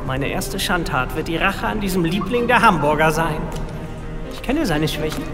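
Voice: mid-range voice, lively intonation, moderate pace, lightly acted. An actor playing a vengeful, megalomaniac nerd supervillain in a comic radio play, ranting pompously and theatrically. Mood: menacing. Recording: long reverb, music behind.

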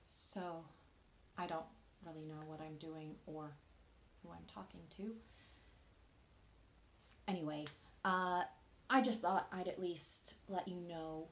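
An actress playing a hesitant, grieving young woman in a naturalistic monologue, praying awkwardly with earnest, halting, tentative speech. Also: lowish voice, slight background noise. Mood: awkward, doubtful. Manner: halting, tentative, with long pauses. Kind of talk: naturalistic contemporary dramatic monologue.

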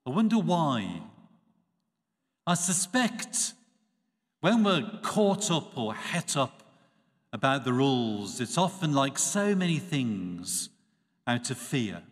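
A man, a priest giving a measured, expressive Sunday homily at a church lectern. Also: mid-range voice. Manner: measured, expressive preaching with dropping phrase ends. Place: church sanctuary. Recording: reverberant church acoustics.

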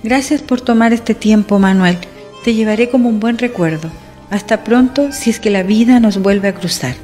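A woman playing a gentle, gracious romantic heroine in a radio soap, sincere and sentimental in delivery. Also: mid-range voice, normal speed, soft background music, lightly acted. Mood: gentle, accepting. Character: gentle, gracious woman parting from a man she loves.

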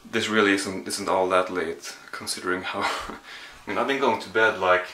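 A man's voice: sits low, steady tone, normal speed, noisy background. A young man with a Swedish accent, vlogging casually from his small apartment with a handheld camera, rambling and self-deprecating. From Sweden. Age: young adult. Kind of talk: casual vlog. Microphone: handheld camera at arm's length.

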